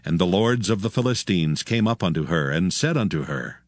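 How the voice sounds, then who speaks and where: A man's voice: low-pitched, lively intonation, normal speed, dry recording, clean studio sound. A man narrating scripted scripture as the storyteller of a dramatized Bible audio production.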